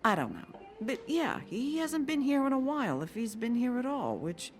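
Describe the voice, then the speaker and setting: A woman's voice: a little high, very animated, normal speed. An actress playing a curt, guarded woman bartender in a video game, evasive and defiant. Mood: evasive, flustered. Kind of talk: cinematic video game voice acting. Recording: music behind the voice.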